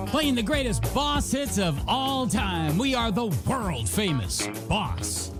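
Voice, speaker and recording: A radio DJ with upbeat patter and playful, chatty asides between songs. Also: medium pitch. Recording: music under the voice.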